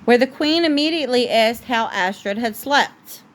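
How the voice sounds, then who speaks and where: A woman's voice: fairly high pitch, expressive, moderate pace. A woman reading a storybook aloud as a parent at home, with casual asides and the odd stumble over words. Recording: noisy home recording.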